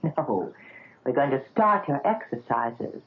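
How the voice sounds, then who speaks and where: An actress playing a gentle young doctor's daughter in a 1970s radio drama. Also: female voice, rumbling low, very animated, slow pace, heavily acted. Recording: narrow phone-like old radio broadcast.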